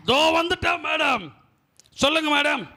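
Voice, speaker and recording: A bumbling courier puppet who whines and pleads his way out of trouble, acted by a man. His voice sits very high and bright, and he mutters complaints under his breath. It's a lively puppet-show character. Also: moderate pace, exaggerated voice. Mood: whiny. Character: bumbling grumbling male parcel courier.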